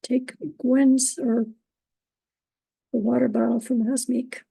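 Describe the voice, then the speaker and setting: A woman moderating an online trivia quiz, reading out questions and standings, who is losing her voice and keeps clearing her throat. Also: fairly high pitch, little pitch movement, warm tone, no room sound, poor audio. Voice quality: gravelly, husky. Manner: upbeat, reading standings, halting on invented words.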